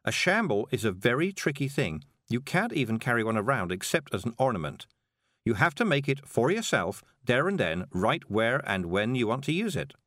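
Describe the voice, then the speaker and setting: A man voicing a cheerful, patient woman witch who gently explains things, in an audiobook. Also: mid-range voice, big pitch swings, moderate pace, no room sound, clean studio sound. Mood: patient, reassuring. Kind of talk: audiobook character voice.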